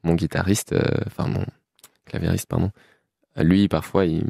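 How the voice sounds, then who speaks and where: Young Belgian musician guest, low gravelly voice, laid-back and laughing, dry close sound. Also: male voice, moderate pace, dry recording. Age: 20s. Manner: relaxed, laughs often, many fillers. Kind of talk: casual interview chat.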